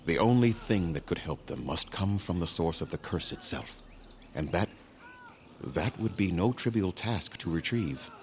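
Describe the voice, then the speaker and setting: A grave elven clan leader from a fantasy game, with a very low voice and formal, measured speech. He sounds weary and solemn, with clear outdoor ambient noise around him. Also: expressive, darkish tone. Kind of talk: scripted video game dialogue.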